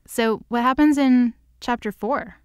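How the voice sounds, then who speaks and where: A woman's voice: on the high side, a little slow, clean tone, no echo, studio quality. A woman in casual comedic chat, joking and slangy, peppering her sentences with "like". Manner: joking, slangy, uses like constantly. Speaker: co-host reacting to a story recap.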